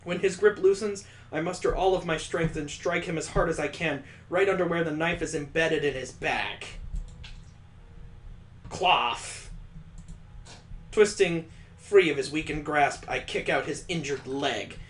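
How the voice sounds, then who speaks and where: A man's voice: fairly high pitch, measured pace, fairly bright tone, a little hiss or hum. A man on a stream reading a visual novel aloud, breaking off for crude, joking asides and mock sound effects.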